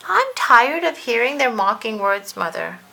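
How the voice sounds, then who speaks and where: A brave young elephant-headed boy god from a storybook, played by a woman. He speaks earnestly and emphatically in a lifted, bright voice with some creak. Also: fairly high pitch, sing-song, unhurried, pitched above the performer's own voice, heavily acted. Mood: determined.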